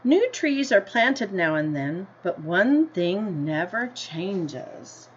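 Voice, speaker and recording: An American woman reads a picture book to children at an unhurried, slow pace. She speaks at a middle pitch and pauses to explain things simply or put questions to her listeners. The recording is dull and lo-fi, with clear background noise. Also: very animated. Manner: deliberate storybook reading, asking listeners questions and explaining simply. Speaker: teacher reading a picture book aloud to children. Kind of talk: read-aloud with simple explanatory asides.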